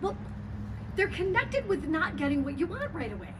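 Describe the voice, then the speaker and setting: High, distant actress as a brazen woman. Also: big pitch swings. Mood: playful, excited. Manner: chatty, teasing, pausing for effect. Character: brazen, confiding former tax lawyer who loves moaning. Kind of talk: comic stage monologue. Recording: distant mic, noisy live venue.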